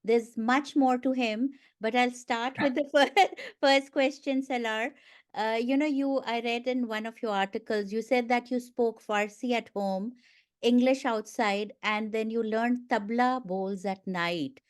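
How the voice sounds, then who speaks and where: A woman's voice: fairly high pitch, steady tone, unhurried. A woman hosting a radio talk show, asking questions in a friendly, upbeat way with some fillers. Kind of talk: radio interview.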